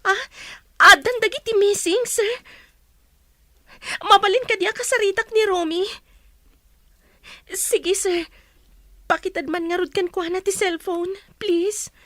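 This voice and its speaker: A woman's voice: very high-pitched, steady tone, a little slow, pauses often, bright, forceful tone, no room sound, studio quality, exaggerated voice. An actress with an Ilocano accent playing an anxious young wife waiting for news of her husband in a radio drama.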